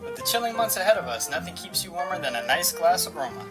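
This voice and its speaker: A man's voice: high voice, big pitch swings, fast. A boy playing a radio announcer over music. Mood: announcing. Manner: announcing, promotional. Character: old-time radio announcer. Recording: loud music underneath.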